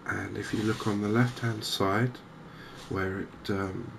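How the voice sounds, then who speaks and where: Softly spoken man, low and creaky. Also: steady tone, noisy background. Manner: hesitant, unhurried, gentle. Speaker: host of a cozy gaming channel. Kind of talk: softly spoken ASMR game commentary.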